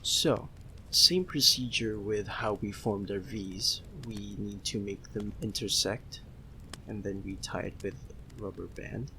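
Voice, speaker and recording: A man's voice: on the low side, slow, a little hiss or hum, heavily acted, rough recording. A man playing a giddy, homesick young friend in an ASMR roleplay, chatting casually with a giggly, hesitant manner. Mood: cheerful, instructing. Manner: giggly, hesitant, softly excitable. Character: giddy, homesick young male Filipino friend, sweet and apologetic. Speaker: audio roleplay performer.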